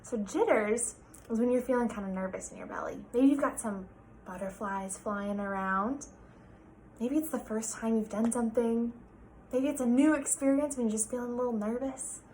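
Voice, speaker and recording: A woman's voice: a little high, normal speed, darkish tone, a little hiss or hum, poor audio. A woman teacher reading a picture book aloud to children, explaining things and prompting them with questions.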